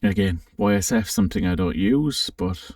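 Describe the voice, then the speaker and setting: A man giving a casual, unscripted software walkthrough, thinking aloud with some hesitation as he presents. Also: sits low, animated, moderate pace, studio quality. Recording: roomy, slightly distant microphone.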